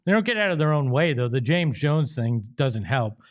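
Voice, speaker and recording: A man's voice: medium pitch, sing-song, normal speed. A man with an American accent hosting a sports show, speaking in an emphatic, conversational way. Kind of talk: opinionated sports talk and host-read ads.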